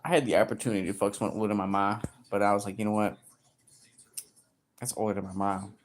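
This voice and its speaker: A man in his early thirties livestreaming, reading chat aloud and joking crudely, with sudden loud outbursts. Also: fairly low pitch, fairly even intonation, fast talker. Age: 30s.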